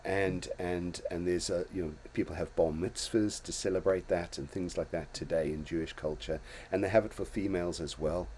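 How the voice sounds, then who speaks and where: A man's voice: low voice, steady tone, moderate pace, hardly any pauses, darkish tone, slight background noise. An older man from Zimbabwe hosting a casual talk show, reflecting on his own life in a storytelling way with many restarts and small chuckles. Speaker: host.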